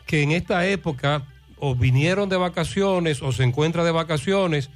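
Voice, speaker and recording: Male host, fairly high voice, conversational. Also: normal speed, soft background music. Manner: conversational, moderating. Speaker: radio host.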